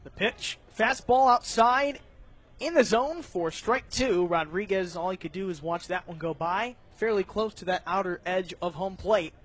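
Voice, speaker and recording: High-voiced man calling baseball play-by-play. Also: moderate pace, fairly bright tone. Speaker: play-by-play announcer. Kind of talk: live baseball radio play-by-play commentary.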